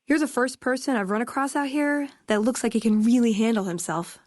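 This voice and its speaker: A woman's voice: fairly high pitch, fairly quick, a little mellow, no room sound, clean studio sound, lightly acted. An actress playing a friendly, wry young American woman in a video game, with casual, playful, sardonic conversational delivery. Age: young adult. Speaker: video game companion character. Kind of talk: scripted game dialogue, conversational.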